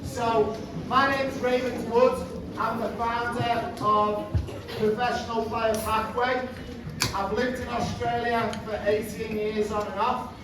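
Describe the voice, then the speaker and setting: A man's voice: very high voice, flat delivery, slow pace. A man from England with a Liverpool accent, giving a chatty, direct live presentation to parents and children in a large room. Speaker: presenter, program founder. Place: large indoor room with audience. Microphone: far room mic. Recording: echoey room, distant mic, background noise.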